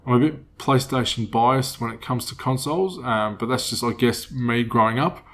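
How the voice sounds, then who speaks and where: A man in his thirties with a cold that makes him a bit nasal, hosting a casual solo podcast in a rambling, relaxed way. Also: medium pitch, moderate pace. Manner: rambling, relaxed, with many fillers. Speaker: podcast host.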